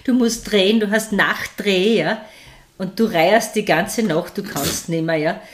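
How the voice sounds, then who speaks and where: A woman's voice: mid-range voice, a little slow, a little hiss or hum. A middle-aged woman from Styria with an Austrian accent, an actress chatting as a guest in an interview and telling stories with many tag questions. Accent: Styrian Austrian German. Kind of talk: casual interview chat.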